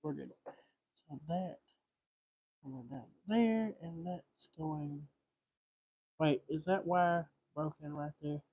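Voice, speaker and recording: A man's voice: a little high, takes it slowly, pauses often, slightly rough, no room sound, narrow, tinny audio. A man thinking aloud in short muttered fragments, giving casual live commentary as he does repair work. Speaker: livestream host repairing a vintage radio.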